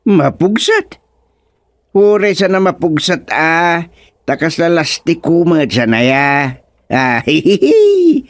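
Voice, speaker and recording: A man playing a vain, chuckling old father in an Ilocano radio comedy, with an exclaiming, drawn-out delivery. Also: on the high side, big pitch swings, no echo. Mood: excited, insistent.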